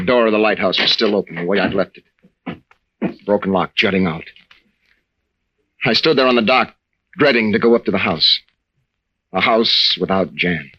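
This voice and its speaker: An actor playing a rugged, devoted lighthouse keeper in a dramatic, earnest old radio drama style. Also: male voice, fairly low pitch, pushed and bright, slightly rough, full character voice. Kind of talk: 1940s-50s radio drama acting, narration and dialogue. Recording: dull old radio recording with background noise.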